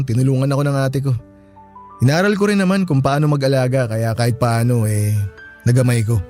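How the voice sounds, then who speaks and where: A man's voice: medium pitch, mellow, close to an everyday voice. An actor playing a polite, earnest young single father in a Filipino radio drama. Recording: music bed under the voice.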